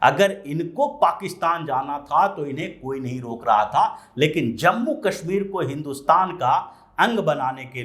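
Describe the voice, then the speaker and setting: A man delivering explanatory political commentary as a news commentator, sometimes posing rhetorical questions. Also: fairly high pitch.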